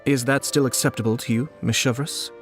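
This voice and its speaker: A man's voice: medium pitch, steady tone, a little fast, soft background music, close to an everyday voice. An actor voicing a polite, tactful, reserved man with careful, formal phrasing in a game cutscene. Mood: tentative, respectful. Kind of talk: video game cutscene acting.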